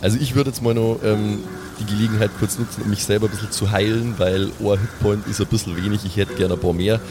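A man's voice: lowish voice, fairly even intonation, fast. A man chatting casually as a player in an improvised tabletop roleplaying game. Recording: clear ambient noise behind.

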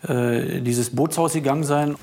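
Halting male investigator with gravelly creak. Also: medium pitch, fairly even intonation, darkish tone, a little hiss or hum. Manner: halting, matter-of-fact, official wording. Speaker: police investigator interviewed. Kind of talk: documentary interview statement. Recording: music laid under interview.

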